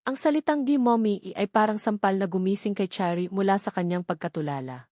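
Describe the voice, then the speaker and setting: A woman's voice: mid-range voice, sing-song, fairly quick, darkish tone, no room sound, clean studio sound. A woman reading a scripted romance story as a dramatic narrator.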